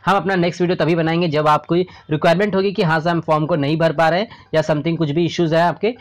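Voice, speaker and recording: Higher-pitched Indian man explaining to viewers. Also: fairly even intonation, fast talker. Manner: repetitive, addresses viewers as friends. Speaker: host of a government job news channel. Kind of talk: informal explainer narration over a screen recording.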